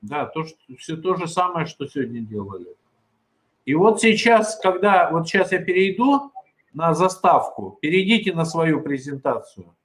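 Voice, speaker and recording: A man's voice: fairly high pitch, very animated, normal speed, fairly bright tone. A man working as a conference tech moderator, patiently talking a speaker through uploading and flipping slides on a video call. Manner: patient, instructive, talks through steps. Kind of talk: casual tech support over video call.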